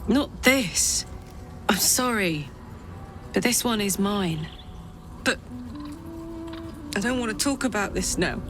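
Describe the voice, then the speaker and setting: A woman's voice: medium pitch, lively intonation, slow, pauses often, noisy background. A woman acting a tough, big-hearted, defiant warrior in a fantasy video game, frank and emotionally open. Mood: defiant, firm.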